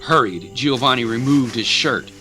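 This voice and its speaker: A man's voice: mid-range voice, normal speed, music in the background. A man narrating an audio drama in a dramatic, scripted storytelling style.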